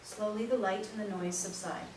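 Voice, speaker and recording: A woman's voice: medium pitch, steady tone, normal speed. A woman reading stage directions as scripted narration for a play reading. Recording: echoey room, distant mic, noisy.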